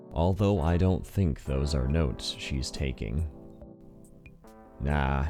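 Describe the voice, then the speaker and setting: Casting: male narrator for a visual novel, extremely low voice, introspective and wry, with music clearly underneath. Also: warm tone. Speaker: narrator and voice actor. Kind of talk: scripted first-person visual novel narration.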